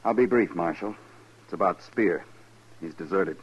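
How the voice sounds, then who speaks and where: A low, husky male voice plays a lieutenant who is earnest and troubled. He is courteous and guarded, acted in the sober style of a 1950s radio western. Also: normal speed, highs cut off. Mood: urgent, sober. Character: earnest, worried, courteous young-ranking Army lieutenant.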